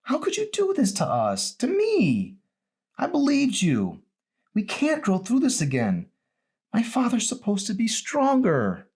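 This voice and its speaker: A man's voice: high voice, huge pitch swings, unhurried, a little mellow, husky, pitched above the performer's own voice, exaggerated voice. A man voicing an angry, wounded teenage daughter in an audiobook, pleading and accusing with heavy emphasis. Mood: accusing, hurt. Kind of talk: dramatised audiobook reading.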